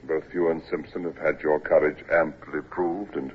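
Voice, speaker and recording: A worn-out old navy captain, really deep, husky, hems and haws, tinny old radio sound. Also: male voice, steady tone, normal speed, slight background noise. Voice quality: husky, hesitant with frequent uh's. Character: ailing, outworn old male navy captain, gruff. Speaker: ship's captain. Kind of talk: 1940s-50s radio acting.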